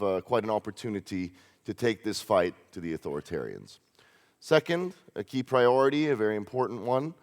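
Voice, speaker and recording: A man from Hungary giving an earnest campaign speech at a conference, pausing often with hesitations. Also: fairly low pitch, a little mellow, clean tone. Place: large conference hall. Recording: reverberant conference hall.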